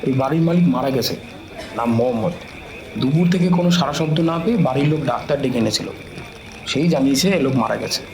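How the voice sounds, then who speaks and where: A man's voice: mid-range voice, quick pace, crowd noise, lightly acted. A man playing a talkative, deferential police officer in an audio drama, explaining things respectfully and knowingly to a superior. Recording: echoey room, clear background noise.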